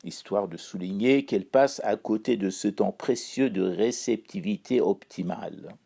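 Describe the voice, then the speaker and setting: Male columnist from Iran, dark gravelly voice, dry ironic read. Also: fairly low pitch. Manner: wry, ironic, measured delivery. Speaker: chronicler. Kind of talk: read-aloud spoken column.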